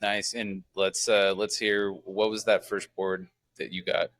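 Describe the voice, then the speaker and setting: A man's voice: sits low, level tone, a little slow, a bit bright, dry recording. A young man hosting a casual podcast interview, laid-back and hesitant with plenty of fillers. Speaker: podcast host.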